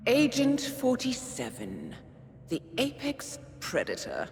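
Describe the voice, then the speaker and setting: A woman playing a coolly elegant, calculating spy handler with a wistful edge in a video game cutscene, speaking in measured, formal phrases. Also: fairly high pitch, huge pitch swings, gravelly, a little mellow, a little hiss or hum, heavily acted. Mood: taunting, dreamlike. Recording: music behind the voice.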